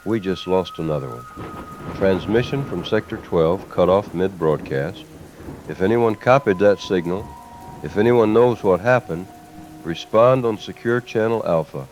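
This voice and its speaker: A man's voice: deep voice, expressive, measured pace, a little mellow, lo-fi sound, a little hiss or hum. A man playing a weary, grim resistance base commander in a post-apocalyptic radio drama. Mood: grave, urgent. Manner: grim, grave, burdened.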